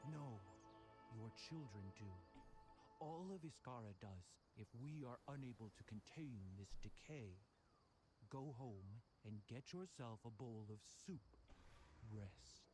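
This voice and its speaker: An actor playing a steady, reassuring walrus-folk leader in a video game, calm and commanding. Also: male voice, medium pitch, slow, music in the background. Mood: firm, grave.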